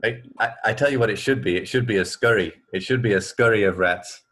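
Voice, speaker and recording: A man from England co-hosting a radio show in casual chat, thinking aloud in a halting way. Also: on the low side, fairly bright tone. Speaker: radio co-host. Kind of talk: casual chat about fun facts.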